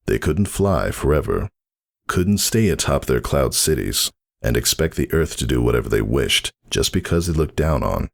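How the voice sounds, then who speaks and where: A man with a very low, husky voice narrates fiction. His delivery is expressive, with lively rises and falls, as a storyteller reading from a script. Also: moderate pace.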